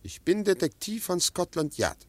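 An actor playing a cool, matter-of-fact undercover detective in a radio play. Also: male voice, high-pitched, big pitch swings, moderate pace, a crowd in the background. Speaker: secretary revealed as detective.

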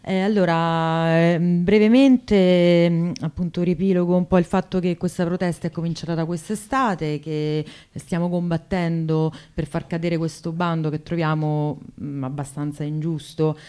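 A woman guest explains a protest in a radio interview. Her voice is very low and clear, and she searches for words as she goes. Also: steady tone, moderate pace, hardly any pauses, mellow.

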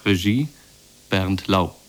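Male announcer for radio play credits: very low voice, unhurried scripted delivery. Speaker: title announcer.

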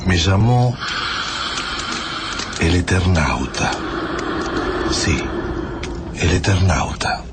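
An actor playing a weary, enigmatic time traveler in a radio drama, with an Argentine accent and a solemn, halting delivery. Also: male voice, low voice, sing-song, very noisy, full character voice. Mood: solemn, musing. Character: weary, enigmatic male time traveler recounting his memories. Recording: loud music under the voice.

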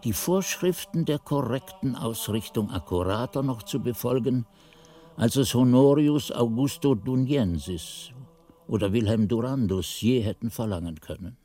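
A man playing a devout, learned monk who recalls his novice days in measured, reverent radio play narration. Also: medium pitch, dark tone, lightly acted. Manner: measured, reverent, ornate phrasing. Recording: music under the voice.

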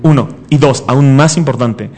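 A man's voice: mid-range voice, normal speed, darkish tone, slight background noise. A man of twenty-five in a heated, casual debate as a comics show host, emphatic and argumentative, often repeating himself and cutting in.

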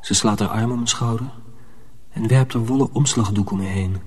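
An actor playing an earnest, protective young mill apprentice in a radio drama, measured and confiding. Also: male voice, lowish voice, husky. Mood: tense, wondering. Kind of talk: radio drama narration and dialogue.

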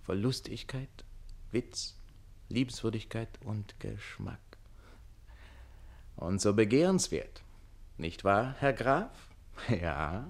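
An actor playing an ardent, quick-witted and indignant servant bridegroom in a classic radio play, wry and theatrical. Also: male voice, on the low side, expressive, gravelly, full character voice. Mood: bitterly ironic.

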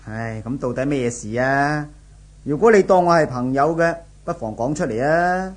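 An actor playing a friendly, inquisitive, breezily reassuring office colleague in a 1970s radio drama, with a Hong Kong Cantonese accent. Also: male voice, on the high side, lively intonation, moderate pace, close to an everyday voice. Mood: concerned, reassuring.

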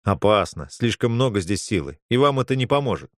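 A man's voice: fairly low pitch, sing-song, fairly quick, dry recording, clean studio sound. A man voicing a weary, reluctant male mage in a fantasy audiobook, protesting in an exasperated, defensive way. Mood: wary.

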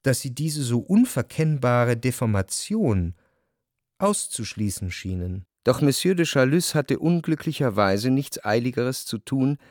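A reflective male memoirist narrating a literary radio drama. His mid-pitched voice is measured, introspective and formal, in a close, dry recording. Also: a little mellow, no echo. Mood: pensive. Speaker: first-person narrator.

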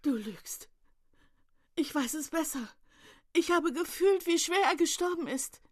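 A woman's voice: high-pitched, lively intonation, measured pace. An actress playing a grieving, desperate mother of a fallen soldier in a radio drama, with a trembling, tearful voice and pleading, accusing questions. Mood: insistent, pleading. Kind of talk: German radio drama acting.

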